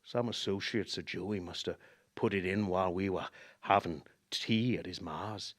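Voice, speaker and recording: An actor playing a haunted former cab driver telling a grim memory in a radio play, halting and emotive. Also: male voice, low-pitched. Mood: anguished. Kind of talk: dramatic radio play monologue.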